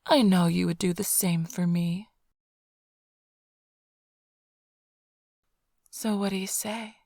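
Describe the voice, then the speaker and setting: Woman acting as seductive vampire thief, low-pitched, creaky, breathy whispering, smug teasing, close ASMR roleplay. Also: steady tone, moderate pace, darkish tone, dry recording. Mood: mocking, playful. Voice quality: breathy, husky, often whispered. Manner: teasing, purring, theatrically sly. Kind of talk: ASMR roleplay, intimate close address to listener.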